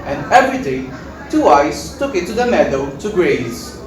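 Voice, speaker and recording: A woman narrating a scripted fairy tale for readers theater in an animated way. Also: low voice, moderate pace. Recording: echoey room, distant mic, faint noise.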